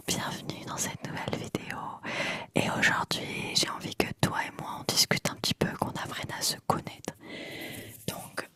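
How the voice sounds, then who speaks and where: A woman in her twenties whispering close to the mic in a chatty ASMR video, rambling and repeating trigger words softly. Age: 20s. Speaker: ASMR creator.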